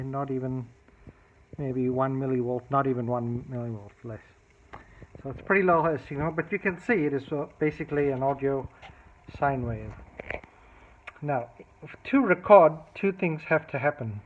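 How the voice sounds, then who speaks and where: Thin phone-like sound, man explaining electronics. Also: medium pitch. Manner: methodical, thinking aloud. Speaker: electronics repair hobbyist demonstrating a fix. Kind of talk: hands-on technical demonstration, unscripted explaining.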